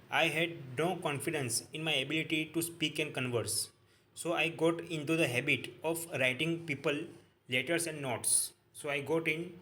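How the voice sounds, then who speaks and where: A man reading a book aloud in a halting way, repeating each sentence and correcting his own slips. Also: fairly high pitch, bright, forceful tone, slight background noise.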